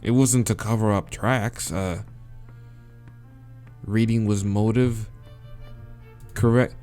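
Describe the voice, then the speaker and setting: A man's voice: on the low side, slow pace, faint music behind. A man playing a visual novel, reading the dialogue aloud and muttering and swearing as he picks answers. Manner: hesitant muttering with swearing, then steady reading. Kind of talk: let's play, reading dialogue and reacting.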